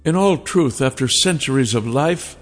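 Man doing a centuries-old she-dragon for an audiobook, coaxing, drawn out, with soft music behind. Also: mid-range voice, expressive, in a large hall, voice pushed up, close to an everyday voice. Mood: seductive. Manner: silky, coaxing, slow and drawn out. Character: seductive ancient female dragon in woman form. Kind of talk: audiobook character voice.

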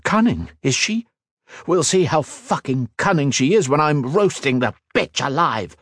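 Man voicing a fiery, high-pitched furious princess. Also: big pitch swings, unhurried, fairly bright tone, no room sound, studio quality, heavily acted. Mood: furious, defiant. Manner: snapping, indignant, emphatic.

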